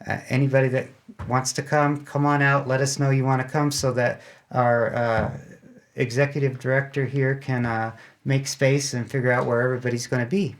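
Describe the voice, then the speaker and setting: Man in a halting, casual interview. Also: mid-range voice, level tone. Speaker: radio club member giving an interview.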